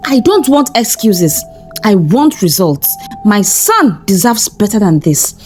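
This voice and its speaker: A woman's voice: medium pitch, sing-song, measured pace, darkish tone, faint music behind. A woman voicing a harsh older mother-in-law in a folktale, scoffing and accusing. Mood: relentless, demanding.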